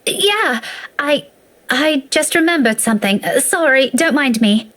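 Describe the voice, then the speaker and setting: A woman voicing a concerned, guarded young woman in a visual novel, speaking in a measured, conversational way. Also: high-pitched, expressive, a bit bright. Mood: hesitant, reassuring.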